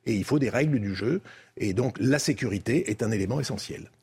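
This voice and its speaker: An older man with a French accent from France, husky-voiced, recounting diplomatic history as a debate guest in a measured, didactic way with emphatic phrasing. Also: mid-range voice, expressive, a little mellow.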